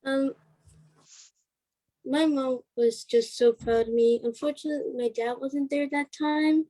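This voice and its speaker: Soft-toned, high-voiced young woman, close and dry. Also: fairly even intonation, unhurried, no echo, poor audio. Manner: hesitant, trailing off. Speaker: interview guest, stage play cast member. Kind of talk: casual chat.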